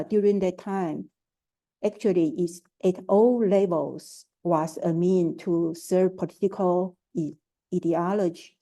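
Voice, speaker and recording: A woman with a Taiwanese accent talks at an unhurried, measured pace, often stopping to hesitate or restart a word. Her tone is very dark and warm. She sounds like an artist presenting a prepared talk over a video call. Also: mid-range voice. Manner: careful and halting, with frequent hesitations and restarts. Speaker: artist, curator and art educator. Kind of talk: prepared talk at an online forum.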